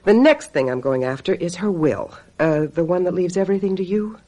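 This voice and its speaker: Hard-nosed female agent, radio drama, sardonic, brisk, embittered, very animated intonation. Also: fairly low pitch. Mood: stern, scornful. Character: sharp-tongued, embittered female talent agent, secretly a witch.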